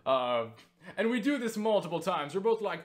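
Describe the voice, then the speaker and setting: A young man casually recounting a story for viewers, excitable and full of swearing and filler words. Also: high-pitched, moderate pace. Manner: excitable, swears freely, lots of fillers and laughs. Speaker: YouTuber answering viewer questions.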